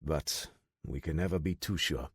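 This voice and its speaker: Brief: grave veteran commander, measured and solemn, dropped low and soft, read by a male narrator, dry sound. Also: breathy edge, dry recording, exaggerated voice.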